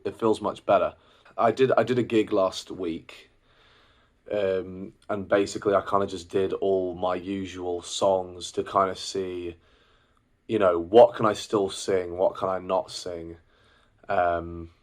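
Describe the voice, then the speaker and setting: A man in his twenties talking casually and reflectively about his own experience, rambling a little with restarts and fillers. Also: low-pitched. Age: 20s. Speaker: singer and vlogger. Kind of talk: casual personal vlog.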